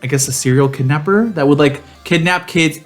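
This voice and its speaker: A man's voice: a little high, brisk, faint music behind. A man co-hosting a casual comedic podcast with sassy, campy, slangy banter. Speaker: podcast co-host.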